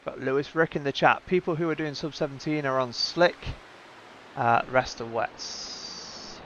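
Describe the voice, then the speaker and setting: A man's voice: mid-range voice, measured pace, fairly bright tone. Man commentating on a race, muffled audio. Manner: conversational, thinking aloud. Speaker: sim racing commentator and stream host. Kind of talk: casual live race commentary. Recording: muffled lo-fi audio with background noise.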